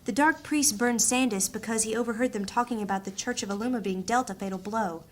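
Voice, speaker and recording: An actress playing an earnest, resolute princess with a pleading manner in a fantasy audio drama. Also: female voice, fairly high pitch, a little fast, natural voice. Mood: urgent.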